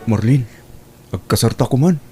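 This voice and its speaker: A man's voice: mid-range voice, lively intonation, moderate pace, over music, close to an everyday voice. A man playing an earnest, devoted suitor in an Ilocano radio drama. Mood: hopeful.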